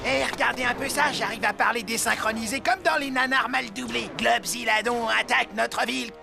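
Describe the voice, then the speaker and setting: A woman's voice: on the high side, fairly even intonation, fairly quick, gravelly. A woman voicing a cheeky, selfish young boy in a French cartoon dub, with brash, slangy delivery. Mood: mocking. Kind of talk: cartoon dubbing. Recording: loud music behind.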